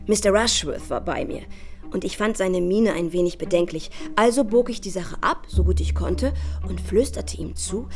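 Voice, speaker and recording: A woman's voice: on the low side, a little mellow, lightly acted. An actress in a German radio play playing a chatty, coquettish young society lady who is arch and playful, with confiding whispers.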